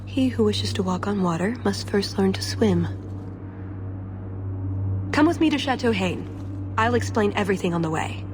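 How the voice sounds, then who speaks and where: A woman's voice: medium pitch, expressive, moderate pace, pauses often, background noise, close to an everyday voice. A woman acts as a young elf thief in a fantasy game. She speaks in a mid-range voice, sly, teasing and confident. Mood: enticing. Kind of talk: video game character dialogue.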